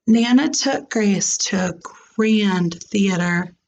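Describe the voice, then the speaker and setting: A woman reading a children's storybook aloud in a deliberate, expressive way, like a teacher with a class. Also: mid-range voice, muffled recording.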